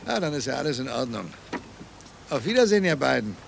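A man's voice: medium pitch, very animated, fast talker, vocal fry. An actor playing a friendly village cart driver in a radio drama, chatting and calling out to his horse. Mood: helpful, brisk. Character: friendly rural cart driver, an uncle to children. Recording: noisy outdoor ambience of a cart ride.

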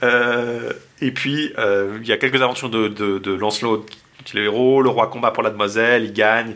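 A man's voice: medium pitch, steady tone, moderate pace, fairly bright tone. A man co-hosting a podcast, casually retelling a medieval romance with frequent fillers, restarts and laughter. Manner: summarizes loosely with many fillers and restarts, laughing often. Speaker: podcast co-host.

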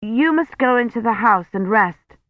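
A woman's voice: fairly high pitch, animated, normal speed, no echo, phone-quality sound, pitched above the performer's own voice, lightly acted. A woman voicing an anxious, urgent female caregiver in an audiobook, pleading and insistent. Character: anxious, urgent young woman caring for a priest.

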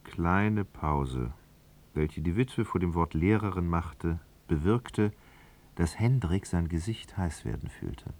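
A man narrating a literary radio drama in a measured, articulate, scripted manner. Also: deep voice, darkish tone.

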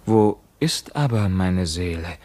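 An actor playing a tormented, bewildered young prisoner in a radio play, speaking in a halting, declamatory way. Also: male voice, low voice, expressive, darkish tone, heavily acted. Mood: troubled questioning. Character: tormented imprisoned young man, feverish, bewildered, brooding. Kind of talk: classic German radio play acting.

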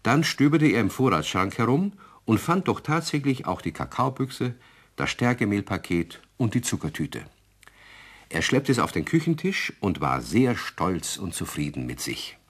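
A man narrating a children's radio play as a genial, expressive storyteller. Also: lowish voice, moderate pace, slightly rough. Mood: amused, genial.

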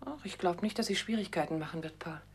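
Detective's wife, fast-talking, husky, assured, matter-of-fact, vintage German radio drama. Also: female voice, lowish voice. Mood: brisk, reassuring. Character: brisk, capable, reassuring wife of a sleuth. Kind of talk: 1950s German radio play acting.

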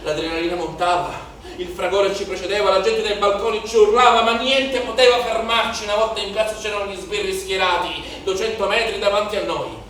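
A young street rioter in a theatrical monologue, chanting his account in obsessive lists. His voice sits fairly high and rings through a very reverberant space. It is picked up from a distance under clear background noise. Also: fairly even intonation, fairly quick, few pauses, fairly bright tone. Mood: frenzied, exhilarated. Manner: incantatory, repeating phrases in lists. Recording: echoey, noisy live theatre recording from afar.

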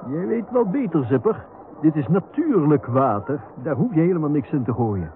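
A man's voice: on the high side, very animated, normal speed. An actor playing a chatty, inquisitive professor in a radio comedy serial, questioning and insisting as he goes. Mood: suspicious, insistent. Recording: tinny narrow-band radio recording, noisy outdoor ambience.

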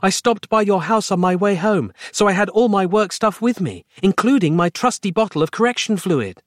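A man's voice: high-pitched, animated, normal speed, no echo, studio quality, pitched above the performer's own voice. A man voicing an urgent, exasperated woman in a comic horror story, with breathless melodrama. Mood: urgent, explaining. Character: panicky, exasperated woman, a horrified colleague and rescuer.

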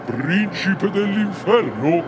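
An actor playing the devil as a terrible, imperious lord of hell, slow, menacing and grandiose, in classical radio theatre. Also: male voice, high-pitched, big pitch swings, noisy background, heavily acted.

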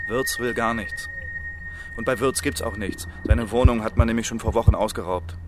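An actor playing a stubborn, suspicious widower in a radio drama, grumbling and evasive. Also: male voice, medium pitch, moderate pace, noisy background, lightly acted. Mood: guarded, bitter. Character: bitter, stubborn, suspicious widower guarding his garage. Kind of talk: German radio drama acting.

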